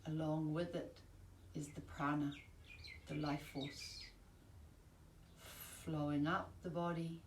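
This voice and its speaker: A woman teaching yoga nidra, giving calm, soothing, measured guided-relaxation instructions with long pauses. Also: sits low. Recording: roomy, distant mic, faint background noise.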